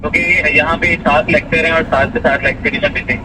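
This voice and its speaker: A man's voice: fairly high pitch, steady tone, brisk. A man, speaking politely and hesitantly on a phone call as a teacher. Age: 20s. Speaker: physics teacher and researcher. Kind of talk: phone conversation. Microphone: heard through a phone call. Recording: distant, noisy phone call.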